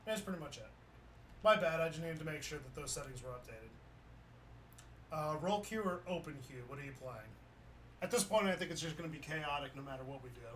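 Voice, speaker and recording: A male streamer with a slightly hoarse voice chats casually while playing, relaxed and quick to laugh. His voice sits a touch high, picked up from a distance in a roomy space. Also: a little hiss or hum. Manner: relaxed, chatty, laughs often. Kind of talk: casual gaming chat.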